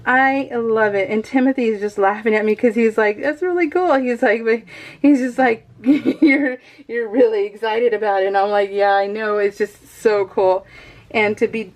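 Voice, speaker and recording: A woman chatting casually to her viewers as a craft vlogger, in an excitable, rambling, giggly way. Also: a little high, normal speed. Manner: addresses viewers familiarly. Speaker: craft vlogger hosting a scrapbooking video.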